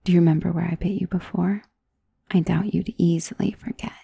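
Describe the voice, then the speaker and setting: Casting: woman to play elegant female vampire for ASMR roleplay. Mellow, breathy, coaxing and possessive, often whispering close to the mic. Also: on the low side, steady tone, brisk, dry recording, highs cut off, full character voice. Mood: intimate, inviting. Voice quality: breathy, soft. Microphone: close studio mic.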